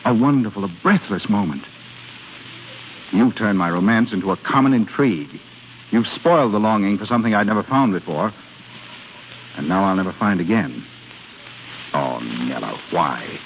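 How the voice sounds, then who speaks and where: An actor playing an ardent, possessive suitor in a 1930s radio drama, speaking in an impassioned, insistent, theatrical way. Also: male voice, on the low side, lively intonation, normal speed, pauses often. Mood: wounded. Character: ardent, possessive male suitor pleading his love. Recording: tinny crackly 1930s radio broadcast, music behind.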